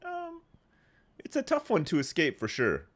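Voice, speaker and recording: Phlegmy man, lively pitch. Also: normal speed. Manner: rambling, reads chat and answers. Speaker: streamer. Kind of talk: casual live gameplay chat.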